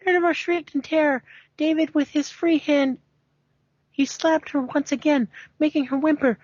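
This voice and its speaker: A woman's voice: high-pitched, steady tone, normal speed, a little mellow, dry recording. A woman doing an amateur read-aloud of a story, stumbling over words and sounding amused. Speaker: amateur fanfiction reader. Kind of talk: amateur dramatic reading with casual asides. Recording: dry, muffled home recording.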